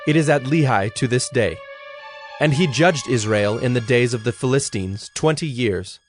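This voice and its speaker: A man narrating dramatized scripture in a measured storytelling manner. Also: medium pitch. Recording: dry studio with music underneath. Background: music.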